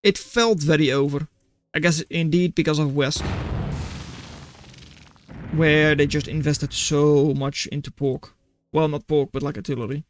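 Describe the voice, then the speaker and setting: A man's voice: a little high, fairly even intonation, a little slow, dry recording. A man casting a strategy game replay live and chatting with viewers, matter-of-fact and dry in his remarks. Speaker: game caster, streamer.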